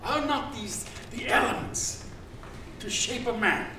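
A man's voice: extremely high pitch, slow. An older man in his sixties playing a grand, cultured mansion owner, declaiming and savouring each phrase in a stage reading. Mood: rapturous, grand. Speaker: actor. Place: theatre stage. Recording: very echoey stage, distant, hissy ambience.

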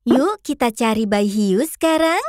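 A woman's voice: a little high, animated, moderate pace, a little mellow, a little hiss or hum. A woman narrating a children's cartoon in a sing-song manner, speaking to young viewers.

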